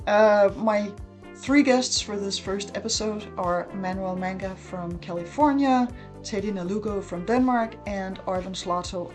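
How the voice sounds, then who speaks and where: A woman hosting a podcast, introducing her guests in a measured way with frequent fillers. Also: mid-range voice. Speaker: podcast host.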